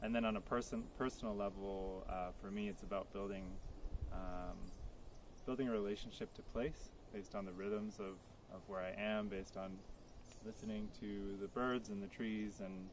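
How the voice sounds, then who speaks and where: A man's voice: fairly low pitch, steady tone, moderate pace, a little hiss or hum. A man answering interview questions outdoors in an earnest, reflective way. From Poland. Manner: reflective, earnest, thinks aloud. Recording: crickets clearly audible outdoors.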